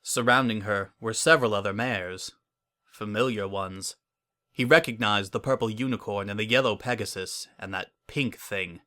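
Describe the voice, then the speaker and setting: A man narrating a scripted story as a dramatic reading, in a measured, solemn manner. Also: on the low side, bright, forceful tone.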